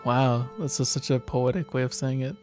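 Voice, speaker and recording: A man's voice: mid-range voice, steady tone, normal speed, a little mellow, soft background music. A man chatting and joking as he reads a visual novel aloud, often breaking off to restart. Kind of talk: casual gaming chat and read-aloud.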